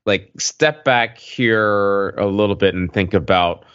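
Low voiced guy podcast cohost, rambly and halting, lots of likes and you knows, bit muffled and roomy. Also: a bit bright, clear, resonant tone. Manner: halting, heavily hedged with "like" and "you know". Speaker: podcast co-host. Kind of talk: casual conversational podcast talk. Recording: muffled, lo-fi voice recording.

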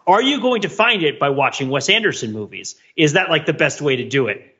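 Podcast guy, sharp bright voice, bit lo-fi, overexplains everything in a jokey analytical way. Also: a little high, lively intonation, a little fast. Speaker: podcast co-host. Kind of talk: casual discussion of films.

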